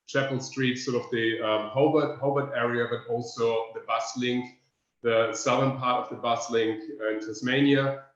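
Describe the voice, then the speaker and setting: A man with a German accent, from Germany, presenting research findings in a webinar, pausing often with fillers. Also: mid-range voice. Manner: thoughtful, pausing with frequent fillers. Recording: roomy, muffled lo-fi sound.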